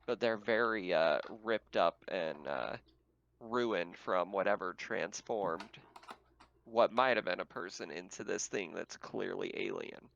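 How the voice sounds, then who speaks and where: A man's voice: low-pitched, a little slow, vocal fry, fairly bright tone, a little hiss or hum. A man speaking casually as game master, narrating and refereeing a tabletop roleplaying game, swearing freely, on a slightly muffled microphone.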